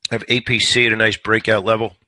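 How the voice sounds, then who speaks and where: A man hosting a stock trading show, giving live market commentary in a conversational way, a little hoarse today and repeating words as he thinks. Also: lowish voice, little pitch movement, fast talker, bright, forceful tone, dry recording. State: slightly hoarse.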